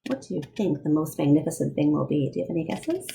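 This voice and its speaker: A woman reading a children's picture book aloud expressively, with deliberate, dramatic phrasing and asides to young listeners. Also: sits low, expressive, vocal fry, mellow. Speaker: read-aloud storyteller.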